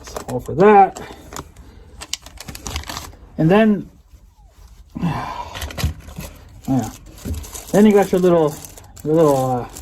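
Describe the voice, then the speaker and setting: A man's voice: fairly high pitch, lively intonation, drawn-out words, long pauses, warm tone. A man giving a casual, unscripted show-and-tell of a product in a rambling, folksy, colloquial way. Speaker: hobbyist video creator presenting a product unboxing. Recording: noticeable background noise with clicks.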